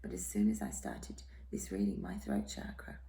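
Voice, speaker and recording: A hoarse, croaky woman giving a tarot reading in a soft, half-whispered, reassuring way. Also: extremely low pitch, wildly swooping pitch, moderate pace. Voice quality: croaky, throat-clearing. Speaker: tarot reader. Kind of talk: casual tarot reading monologue.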